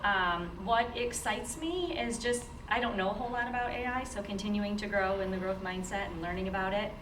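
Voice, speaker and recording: A woman's voice: medium pitch, fairly quick. A woman, an education instructor, speaking informally as she introduces herself to a group. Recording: echoey room, distant mic, steady noise.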